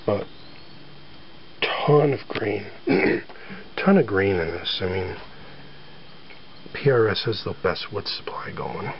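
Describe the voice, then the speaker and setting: A man with a scratchy voice from allergies, casually showing off his guitar with lots of fillers and throat clearing. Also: on the low side. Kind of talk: casual show-and-tell. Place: near a sunny front porch. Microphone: handheld camera filming close-up. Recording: dull home-video sound with faint background noise.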